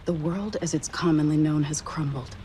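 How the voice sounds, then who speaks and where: A woman's voice: deep voice, normal speed, background noise, natural voice. A woman playing a guarded, commanding intelligence handler in a game, speaking with dry, sardonic authority. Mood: cynical, grave. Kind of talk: dramatic game cutscene acting.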